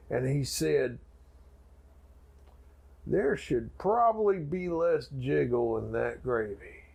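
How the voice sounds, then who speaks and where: A man playing a folksy, grandiose backwoods preacher telling a mock sermon in a raspy, gravelly voice with dramatic pauses. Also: sits high, exaggerated voice. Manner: drawn-out, folksy, dramatic pauses and sudden swells.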